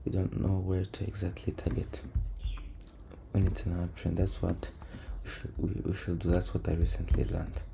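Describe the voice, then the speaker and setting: Male trader for casual screen-share commentary: deep, flat delivery, low energy, hesitant, with clear background noise and keyboard sounds. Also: normal speed. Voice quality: low, creaky voice. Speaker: trader recording a backtesting session. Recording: noisy room, keyboard and typing sounds.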